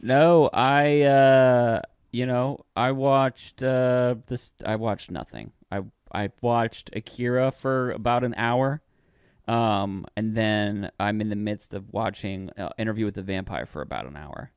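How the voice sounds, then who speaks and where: A man's voice: medium pitch, measured pace, smooth, dry recording, studio quality. A man co-hosting a podcast in casual chat, playful and teasing. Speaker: podcast co-host.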